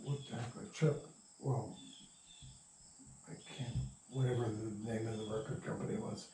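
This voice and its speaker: A man's voice: on the low side, fairly even intonation, unhurried, warm tone. An older man telling stories casually in an interview, rambling and salty-tongued. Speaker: interviewee, radio play producer. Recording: roomy, distant mic, noisy recording.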